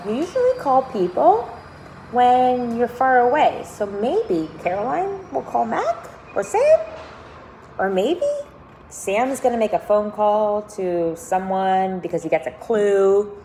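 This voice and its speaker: A woman teacher reading a children's novel aloud to her class, speaking deliberately and pausing to think aloud with questions. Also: mid-range voice, huge pitch swings, warm tone, slight background noise, rough recording. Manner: deliberate, questioning, stressing key words for young listeners.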